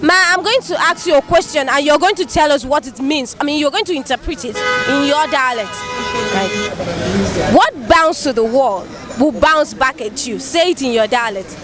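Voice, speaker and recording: A woman hosting a street interview outdoors, upbeat and quick to thank her guests. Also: high-pitched, lively intonation. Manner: upbeat, repeats thanks often. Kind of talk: street vox-pop interview. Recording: street crowd noise behind.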